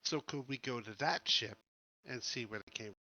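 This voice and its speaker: A man talks as a player in a tabletop game, in casual questioning table talk. His voice is bright and middle-pitched. The sound is dry and a little dull. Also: moderate pace, dry recording.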